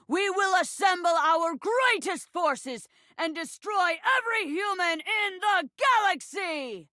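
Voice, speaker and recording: A woman's voice: sits high, a bit bright, no echo, clean studio sound, heavily acted. A woman voicing a hostile, haughty four-legged alien leader in a theatrical, melodramatic game performance.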